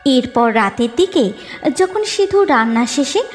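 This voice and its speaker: A woman with a high voice serves as the storyteller. She reads scripted narration for a cartoon tale. Music plays clearly behind her. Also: very animated, measured pace.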